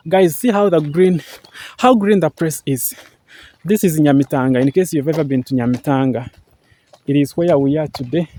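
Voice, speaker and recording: A man's voice: on the high side, normal speed, dark tone. Earnest Ugandan man vlogging outdoors. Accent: Ugandan English. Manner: repetitive, earnest, many fillers and thank-yous. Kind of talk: casual vlog talk to camera. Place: outdoors, walking through green farmland.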